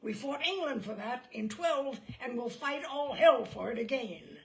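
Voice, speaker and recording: Woman playing a stouthearted, creaky-voiced American statesman lawyer. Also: high voice, expressive, a little slow, pitched above the performer's own voice, exaggerated voice. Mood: thundering defiance. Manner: composed, folksy, firm. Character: cool, stouthearted American lawyer and orator, a grown man.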